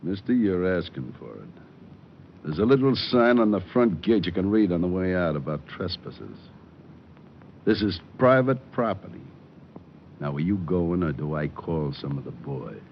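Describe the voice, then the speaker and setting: Burly male boss, very deep, gruff, curt, threatening, old radio drama, muffled rumbly recording. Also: lively intonation, normal speed, slight background noise. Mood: menacing. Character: burly, menacing male trucking company boss. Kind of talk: 1950s radio detective drama acting.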